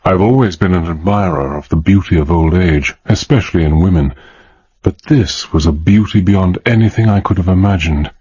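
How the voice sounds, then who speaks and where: A courteous British war hero in a radio spy thriller. The voice is low and rough-edged, earnest in both dialogue and narration, on a slightly dull recording. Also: male voice, normal speed, darkish tone. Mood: awed narration. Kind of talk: radio drama acting and narration.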